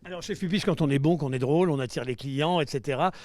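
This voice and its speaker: A man's voice: fairly high pitch, quick pace, darkish tone, no room sound. A man hosting a live street interview outdoors by the seafront, asking questions in a playful, teasing way. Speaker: host.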